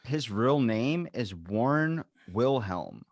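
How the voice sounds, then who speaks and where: A man's voice: mid-range voice, sing-song, takes it slowly, no room sound, clean studio sound. A man hosting a podcast, chatting casually and joking, sometimes with mock outrage. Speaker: podcast host. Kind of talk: casual comedic chat.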